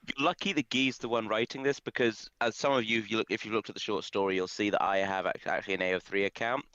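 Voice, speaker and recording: A man with a lowish voice chats on a livestream in a wry, self-mocking way. The sound is dull and lo-fi, with no room around it. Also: steady tone, fast, a bit bright, no echo. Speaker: co-creator and writer. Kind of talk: casual livestream chat. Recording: dull, lo-fi mic.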